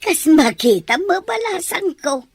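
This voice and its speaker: Woman acting as older motherly maid, cheery n teasing, unhurried, voice jumps around a lot, ilocano radio drama. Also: extremely high pitch, big pitch swings, gravelly, no room sound, heavily acted. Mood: cheerful, teasing.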